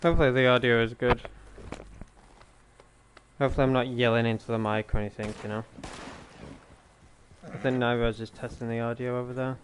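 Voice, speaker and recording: A man's voice: medium pitch, flat delivery, a little fast, long pauses, a little hiss or hum. A young man casually streaming a video game, joking loosely with his chat and a friend. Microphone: gaming headset.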